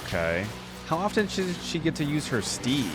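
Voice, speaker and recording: A man's voice: on the high side, very animated, normal speed. A man giving casual, informal, enthusiastic live commentary as a reaction video host. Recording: faint music behind the voice.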